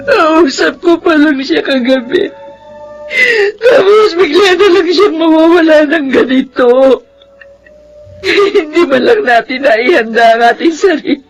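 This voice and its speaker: An actress playing a devoted, grieving middle-aged wife and mother in a radio drama, with emotional, drawn-out delivery. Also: high voice, expressive, faint music behind, poor audio. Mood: sobbing grief.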